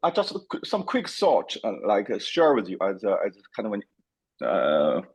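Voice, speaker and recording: A man in an online workshop making a comment haltingly, full of repeated words and "like, uh". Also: lowish voice, wildly swooping pitch, breathy edge. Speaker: workshop participant. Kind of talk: casual discussion comment.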